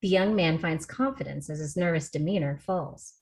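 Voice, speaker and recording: A woman's voice: sits low, moderate pace, darkish tone. A woman reading scripted screenplay narration as the stage-direction reader on a video call.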